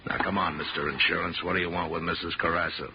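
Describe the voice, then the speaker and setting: A deep, gruff gangster boss in a vintage radio crime drama. He is terse and mock-polite, with menace under every line. The recording is muffled, with clear noise. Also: little pitch movement, fast. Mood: sardonic. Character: scar-faced menacing gangster boss, curt and sardonic. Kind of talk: 1950s radio detective drama acting.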